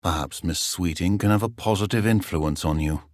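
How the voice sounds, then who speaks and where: An actor playing a stern middle-aged British wizard professor in a video game, speaking in a measured, dry, formal way. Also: male voice, low-pitched, a little mellow, dry recording, lightly acted. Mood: wry.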